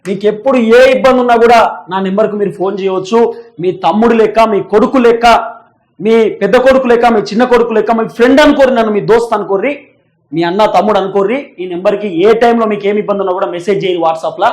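A man's voice: sits high, very fast, a little mellow. A young man with a Telangana Telugu accent presenting news commentary straight to viewers in an emphatic, repetitive, insistent manner.

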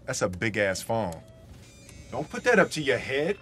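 A man's voice: mid-range voice, big pitch swings, normal speed, a bit bright, a little hiss or hum. An actor playing a wisecracking male secret agent, joking and sarcastic with plenty of slang. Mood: wry.

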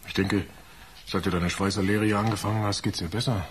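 A man acts a conscience-stricken workman in a radio play. His voice is fairly low, earnest and troubled. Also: fairly even intonation, brisk, slight background noise, lightly acted. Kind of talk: radio drama acting.

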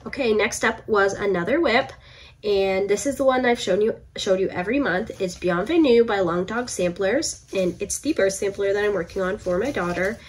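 A woman's voice: medium pitch, moderate pace, slightly rough. Congested young woman chatting to camera. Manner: chatty, meandering with fillers and restarts. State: congested from a cold. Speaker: cross stitch vlogger. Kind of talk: casual chat to camera. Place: home room, indoors.

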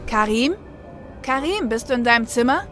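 A woman's voice: on the high side, expressive, normal speed, fairly bright tone. A woman playing a blunt, wry shadowrunner in a German audio drama. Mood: exasperated concern. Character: dry, sarcastic female shadowrunner, protective big sister. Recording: music bed behind the voice.

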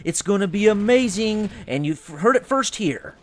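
A man's voice: high-pitched, animated, moderate pace. A man narrating a game video as a mock promotion, with tongue-in-cheek, reverent hype.

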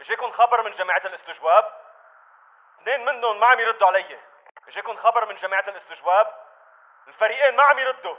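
A man's voice: sits high, racing, pauses often, phone-quality sound, natural voice. A man playing an uneasy militant with a Levantine Arabic accent, speaking in clipped, questioning video game chatter. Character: uneasy male militant checking on comrades.

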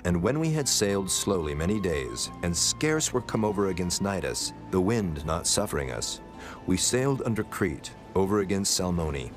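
Deep-voiced solemn male scripture narrator. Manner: formal, solemn. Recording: prominent music bed under voice.

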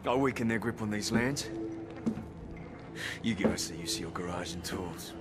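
An actor playing a stoic, hardened wasteland driver in a video game, blunt, clipped and deadpan. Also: male voice, on the low side, moderate pace, noisy background, natural voice. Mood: guarded. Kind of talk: video game character acting.

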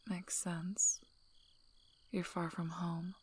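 A woman voicing a gruff, guarded but protective werewolf alpha in a breathy, soft-spoken ASMR role-play, dry and teasing. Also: on the low side, flat delivery, extremely slow, heavily acted. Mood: low, softening. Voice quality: breathy, husky, half-whispered.

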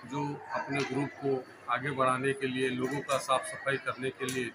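Flat-voiced man at a noisy event. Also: medium pitch, moderate pace. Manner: formal, flat delivery. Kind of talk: news interview. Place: indoor town hall event. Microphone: handheld reporter mic. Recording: loud hall ambience behind.

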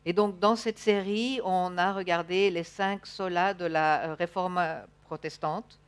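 Woman interpreting a sermon, slightly halting. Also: on the low side, steady tone, clear, resonant tone. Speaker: church interpreter. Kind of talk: live consecutive interpretation of a sermon. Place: church stage, indoors.

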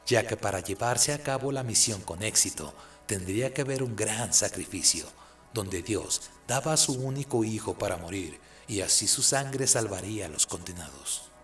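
Low-voiced man narrating solemnly. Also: fairly bright tone. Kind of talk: scripted dramatic radio-play narration.

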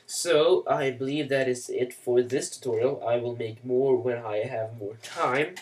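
A man who grew up speaking Swedish, walking through software steps in a loose, self-mocking way with plenty of fillers. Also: medium pitch, unhurried, distant mic, a little hiss or hum, low-quality recording. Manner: rambling, self-mocking asides, many "uh" and "you know". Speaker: software tutorial maker. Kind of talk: casual screen-recorded software tutorial.

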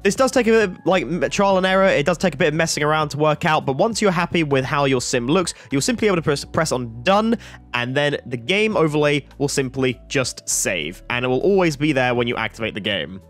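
A man with a croaky voice giving a chatty tutorial voice-over, full of fillers like "like" and "uh". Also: a little high, expressive, a little fast, soft background music. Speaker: flight sim YouTuber.

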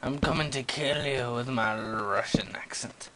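A young man narrates his game in a goofy, self-mocking way, scolding monsters and teasing himself. He talks slowly and unhurriedly, at a middling pitch. The recording carries clear background noise. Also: bright, forceful tone. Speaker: gamer playing commentary. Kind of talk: let's play commentary. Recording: noisy home recording.

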